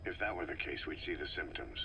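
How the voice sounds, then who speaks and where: An extremely deep male voice with a hard, forceful edge, playing a stoic soldier in a game. He is gruff and terse, keeping everything deadpan over slight tape noise. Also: fast, exaggerated voice. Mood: terse, flat. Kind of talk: scripted video game dialogue.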